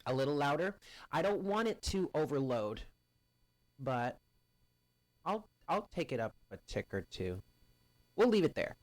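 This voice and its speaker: A man hosting a live stream of readings, chatting casually with viewers and greeting them by name in a loud, carrying voice. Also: on the high side, very animated, a little slow, pauses often, no room sound. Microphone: home stream mic, first too quiet, then louder.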